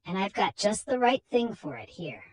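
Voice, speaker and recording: Woman as a husky, commanding vampire-hunting doctor. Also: mid-range voice, sing-song, normal speed. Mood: grave explaining. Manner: emphatic, declamatory. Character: commanding male doctor, vampire hunter, urgent and knowing.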